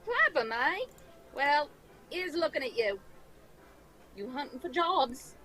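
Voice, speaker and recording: Woman as male tavern keeper, Cockney accent, high voice, chummy, gossipy, old-time radio drama. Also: expressive, slow pace, pushed and bright, heavily acted, poor audio. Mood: jovial. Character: chatty, friendly male Cockney tavern keeper, former carriage driver.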